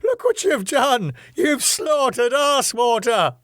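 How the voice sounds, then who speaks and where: A man's voice: very high voice, sing-song, takes it slowly, fairly bright tone, no room sound, clean studio sound, pitched above the performer's own voice, exaggerated voice. A man voicing a panicky middle-aged male ballroom owner who shrieks in hysterical outbursts.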